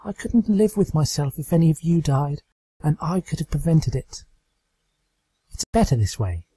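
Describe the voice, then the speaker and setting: A man voicing a timid, gentle young female pegasus pony, soft, breathy and hesitant. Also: fairly high pitch, sing-song, moderate pace, voice pushed up, poor audio. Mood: resigned.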